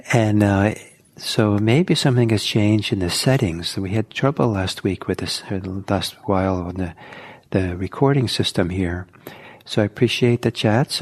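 Slow husky-voiced man guiding meditation. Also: lowish voice. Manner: soft, slow, halting with many pauses. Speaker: meditation teacher. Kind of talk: guided meditation talk.

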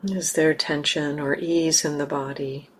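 A woman leading a guided meditation as a teacher, speaking gently and unhurriedly with long pauses. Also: low-pitched, little pitch movement, slight background noise.

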